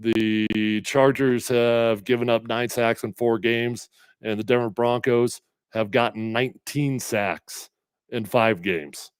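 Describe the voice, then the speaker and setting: A middle-aged man hosting casual sports talk, a little congested, energetic and emphatic as he reads comments and makes predictions. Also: mid-range voice, slow, clear, resonant tone, dry recording. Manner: energetic, conversational, emphatic with fillers. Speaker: sports radio host previewing a game.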